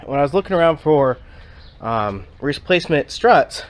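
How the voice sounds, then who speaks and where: A man getting over a cold, a little sniffly, explaining a car suspension job in a casual, halting way with many ums and restarts. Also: on the high side, animated, a little hiss or hum. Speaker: car repair vlogger.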